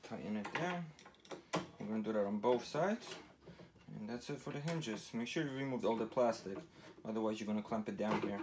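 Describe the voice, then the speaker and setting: A man's voice: on the low side, fairly quick, background noise. A man in his thirties casually explaining each step of a home DIY setup in plain, matter-of-fact fragments, recorded in a garage workshop on a camera mic. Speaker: DIY how-to host.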